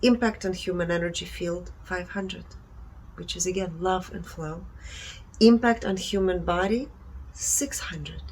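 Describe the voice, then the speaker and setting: A woman speaks in a fairly low voice with a marked creak. She presents readings and reflections earnestly, in short emphatic phrases, over faint background ambience. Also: a little slow, darkish tone, a little hiss or hum, low-quality recording. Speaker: presenter reporting energy readings. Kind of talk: presentation reading out findings with personal commentary.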